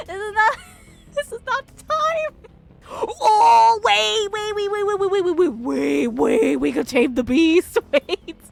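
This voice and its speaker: High-voiced, expressive woman game streamer. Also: vocal fry. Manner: excitable, giggly, expressive. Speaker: streamer playing and reading a visual novel. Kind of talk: live game commentary, reading dialogue aloud.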